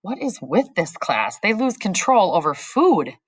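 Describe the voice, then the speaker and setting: A woman's voice: medium pitch, big pitch swings, measured pace, breathy edge, no room sound, clean studio sound, voice pushed up. Woman voicing a confident friendly schoolgirl.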